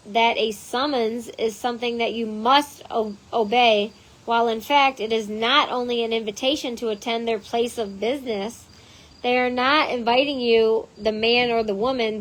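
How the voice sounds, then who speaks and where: Hoarse woman reading aloud with asides. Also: fairly high pitch, slight background noise, low-quality recording. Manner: chatty, drifts into side notes. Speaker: reader and channel host.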